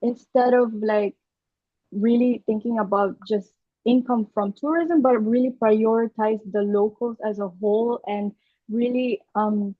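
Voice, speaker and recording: A woman's voice: fairly high pitch, steady tone, measured pace, no room sound. A young woman from Aruba with a Filipino accent, a podcast guest explaining her views earnestly, step by step, with small restarts. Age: young adult. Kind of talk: conversational interview. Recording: dull dry lo-fi call audio.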